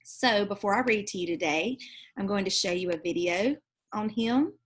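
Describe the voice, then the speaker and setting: A woman's voice: medium pitch, expressive, normal speed, husky. A woman teacher talking casually to her students, explaining as she goes and correcting herself along the way. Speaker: teacher reading aloud to students. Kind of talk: casual instructional talk to students.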